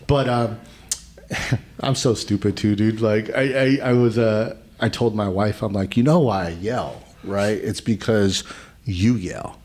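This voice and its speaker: A man in his forties who talks loudly and tells stories on a casual podcast, calling people bro and dude. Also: fairly low pitch, slow. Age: 40s. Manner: loud, animated storyteller, calls people bro and dude. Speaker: podcast host.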